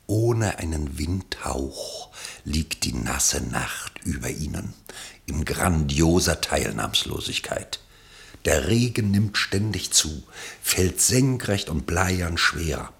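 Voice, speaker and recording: A male narrator with a very low voice and a gravelly creak. He reads a literary radio play script in measured phrases, with a lively, wide-ranging melody.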